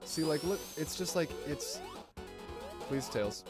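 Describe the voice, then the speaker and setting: A man streaming a video game, chatting casually with viewers in an excitable, rambling way and swearing often. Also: on the high side, slow. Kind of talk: casual gameplay commentary. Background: music.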